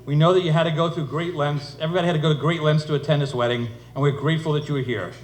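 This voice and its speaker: Echoey, high-pitched middle-aged man giving a speech. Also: steady tone, racing. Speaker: father of the bride giving a speech. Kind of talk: humorous wedding speech told as a fairytale. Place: indoor wedding reception venue. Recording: echoey room, noisy event ambience.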